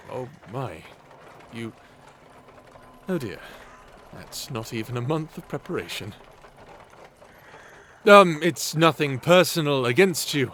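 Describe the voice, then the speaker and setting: A man's voice: medium pitch, fairly bright tone, a little hiss or hum. A man playing a nervous, polite, overwrought human captive in an audio roleplay, speaking hesitantly. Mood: worried. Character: anxious, overwrought young human captive competing for survival. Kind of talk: cinematic audio roleplay acting.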